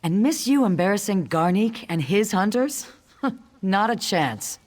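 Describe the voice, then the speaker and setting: A woman's voice: mid-range voice, lively intonation, a little slow, darkish tone. An actress playing a wry female orc in a video game, mocking and frank. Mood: mocking, amused. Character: wry, sharp-tongued female orc clanswoman. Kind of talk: video game character acting.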